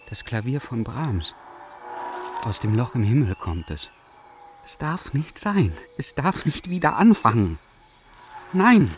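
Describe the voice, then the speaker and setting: Fussy, uneasy official, noisy recording. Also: male voice, medium pitch. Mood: alarmed panic. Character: fussy, anxious male aviation authority investigator haunted by Brahms. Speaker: radio play actor. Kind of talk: German radio play acting.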